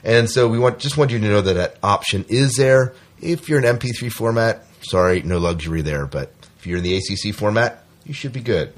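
A man with a low-pitched voice hosts a podcast. He talks informally and casually, with a joking, self-deprecating streak. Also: brisk. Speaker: podcast host. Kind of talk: casual hosting chat.

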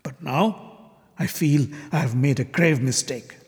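A man voicing an arrogant, furious king who hurls scornful insults and shouts in defiance. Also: medium pitch, a little slow, close to an everyday voice. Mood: indignant. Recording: long hall reverb.

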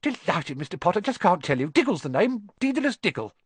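A man voicing a small, overexcited little man with a squeaky voice in a storybook reading. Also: big pitch swings, brisk, breathy edge, dry recording, exaggerated voice. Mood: delighted. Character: small excitable little man in a top hat.

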